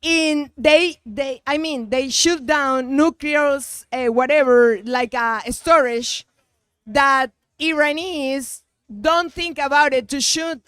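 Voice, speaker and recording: A woman with a Latin American Spanish accent argues in a heated street debate. Her voice sits high and her pace is slow, with many halts and repeated words while she hunts for the English. The sound is close and dry. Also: fairly bright tone, no room sound. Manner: halting, searching for words, repeats herself.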